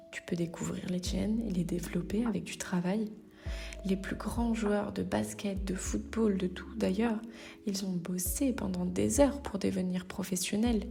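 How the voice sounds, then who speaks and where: Female podcast host, mid-pitch, coaching tone, talks straight to listener, music bed underneath. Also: vocal fry, dark tone. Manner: direct, addresses listener informally, occasional hesitations. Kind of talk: motivational solo podcast talk.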